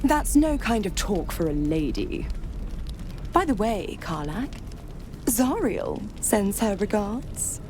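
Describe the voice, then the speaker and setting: A woman's voice: medium pitch, very animated, takes it slowly, over music. An actress playing a seductive, smug, sadistic female devil in a video game, taunting in a theatrical drawl. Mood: smug mockery. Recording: clear ambient noise under voice.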